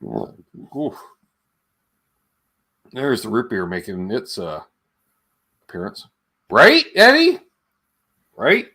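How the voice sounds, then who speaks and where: A man's voice: mid-range voice, wildly swooping pitch, drawn-out words, vocal fry, long pauses, sharp tone, husky. A man hosting a livestream in a casual, chatty way, wandering between asides and viewer comments. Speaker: livestream show host.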